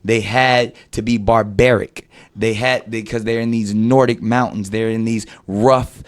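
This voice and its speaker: A man's voice: fairly low pitch, fairly even intonation, unhurried. A man arguing a point in conversation, speaking emphatically and repeating phrases for weight. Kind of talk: conversational argument.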